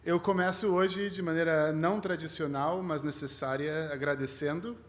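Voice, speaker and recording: Guest rabbi, male, Brazilian accent with a mixed tinge, lightly raised pitch, conversational sermon with self-deprecating humour. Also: steady tone, normal speed, slight background noise. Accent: Brazilian with a mixed foreign tinge. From Rio de Janeiro, Brazil.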